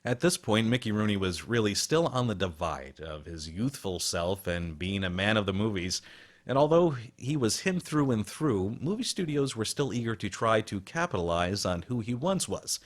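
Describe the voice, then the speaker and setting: A man's voice: fairly low pitch, animated, normal speed. A man hosting a radio program with scripted documentary narration.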